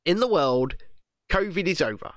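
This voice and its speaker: A man's voice: fairly high pitch, expressive, moderate pace, dry recording, studio quality. A man from England chatting casually, swearing and ranting, laughing as he talks. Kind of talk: casual chat.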